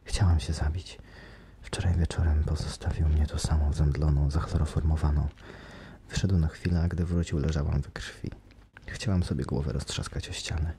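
A man voicing a frightened young woman held captive, speaking breathlessly and pleadingly in a raised, higher voice. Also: flat delivery, moderate pace, slight background noise. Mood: shaken. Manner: breathless, desperate, high and loud. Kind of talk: audiobook character voice.

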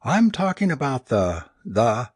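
Man acting a tense, wry, animated man. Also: medium pitch, moderate pace, husky, no room sound, studio quality. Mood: strained resolve. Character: tense, wry, determined man facing humiliation.

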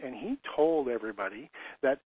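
A man's voice: medium pitch, animated, brisk, breathy edge, no room sound. A man in his fifties giving an earnest, conversational webinar talk as a lease consultant, often restarting his sentences. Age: 50s. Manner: earnest, storytelling, frequent restarts and repeated words. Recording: tinny phone-line audio.

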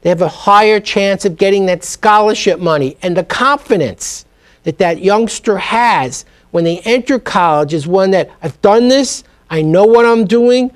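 A man's voice: high-pitched, moderate pace. A middle-aged man being interviewed in a TV studio, talking emphatically and energetically about learning. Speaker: guest, speed reading teacher. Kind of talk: TV business interview. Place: TV studio set.

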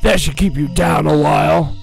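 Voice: medium pitch, normal speed, vocal fry. An actor voicing a huge, evil, boastful turtle-monster king in an amateur cartoon dub, menacing and gloating. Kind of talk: amateur English anime fan dub. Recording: loud background music behind the voice.

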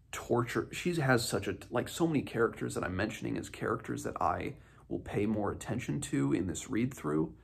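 Deep, gravelly young man, musing aloud. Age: 20s. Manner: musing, halting, thinking aloud. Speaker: book reviewer, host of a read-along. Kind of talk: casual, unscripted book discussion. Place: small home room, indoors.

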